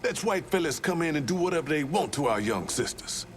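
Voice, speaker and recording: A man's voice: fairly high pitch, expressive, a little fast, over music, close to an everyday voice. An actor voicing a streetwise, embittered Southern man in a video game, with folksy, salty talk. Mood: bitter, scheming. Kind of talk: video game cutscene acting.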